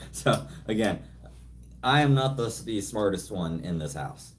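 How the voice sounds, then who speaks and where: A man's voice: on the low side, big pitch swings, slow pace, slight background noise. A man from California hosting a casual live Q&A from home, rambling and self-deprecating as he reads viewer comments into a phone set a little way off. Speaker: livestream host. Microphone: phone set some distance away.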